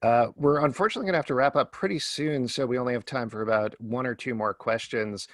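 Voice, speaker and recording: A man with an average-pitched voice moderates a casual panel. He puts questions to guests conversationally, and the sound is dry, with very little room in it. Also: fast, no room sound. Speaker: host and moderator.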